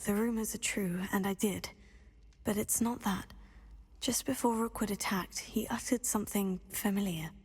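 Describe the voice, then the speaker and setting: Gentle young female student, game dialogue, extremely breathy, near-whisper, confiding, hall reverb. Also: medium pitch, fairly even intonation, normal speed. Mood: hushed, grave. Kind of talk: video game cutscene dialogue.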